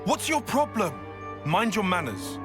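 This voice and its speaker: A man's voice: high-pitched, very animated, measured pace, over music. A man playing a wary young soldier in a game, with a dry, sardonic, grudging manner. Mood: dry irritation. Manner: sardonic, clipped, exasperated.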